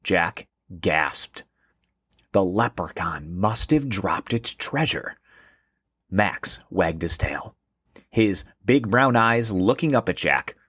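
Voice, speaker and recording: A man hosting and narrating a scripted children's story in an expressive storytelling manner. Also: low voice, slow pace, husky, no room sound, clean studio sound. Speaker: host and narrator.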